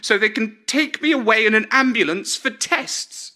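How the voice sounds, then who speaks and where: A man voicing an elderly, fed-up grandmother in a storybook reading, forceful and emphatic. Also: high voice, moderate pace, pitched above the performer's own voice. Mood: fed up, threatening.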